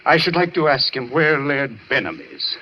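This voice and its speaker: An actor playing an obsessed, vengeful mad surgeon in a radio horror drama, insistent, taunting and theatrical. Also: male voice, medium pitch, very animated, moderate pace, close to an everyday voice. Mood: insistent, suspicious. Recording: dull, noisy old radio recording.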